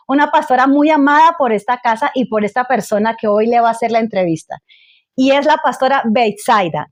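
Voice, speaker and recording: Female pastor host, high voice, effusive, halting restarts. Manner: effusive, restarts and repeats words. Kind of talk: church talk show interview.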